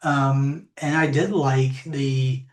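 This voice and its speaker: Deep-voiced woman, slow and reflective. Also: fairly even intonation, darkish tone. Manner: reflective, unhurried, many fillers and restarts. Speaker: podcast guest. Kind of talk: casual interview talk. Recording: echoey room.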